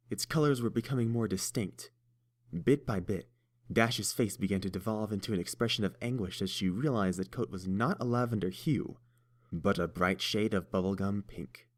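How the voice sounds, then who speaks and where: A man narrating a fan-fiction storybook in a steady, measured way. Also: fairly low pitch. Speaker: audiobook reader.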